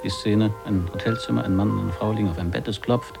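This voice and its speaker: An actor playing an earnest radio gag writer who pitches scenes in intense, clipped, telegraphic phrases in a radio drama. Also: male voice, fairly even intonation, quick pace, creaky, music in the background. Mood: absorbed, narrating. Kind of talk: radio play acting, clipped storytelling.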